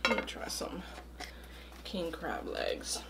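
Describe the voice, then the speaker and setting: A woman's voice: low-pitched, huge pitch swings, slow, creaky, heavy background noise. A young woman hosting an eating show, chatting casually between bites in a room at home. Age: young adult. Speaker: mukbang host. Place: small room indoors at home.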